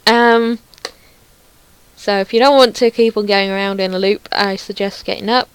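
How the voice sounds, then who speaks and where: A woman's voice: medium pitch, steady tone, normal speed, clean tone, no room sound. A woman giving casual screen demo commentary as a creator showing her own project, rambling and thinking aloud with some self-deprecation.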